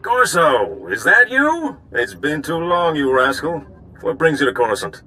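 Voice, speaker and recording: An actor playing a charming, worldly older gambler and informant in a video game, suave, genteel and self-assured. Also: male voice, on the high side, sing-song, unhurried, pushed and bright, a little hiss or hum, exaggerated voice. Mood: warm, jovial.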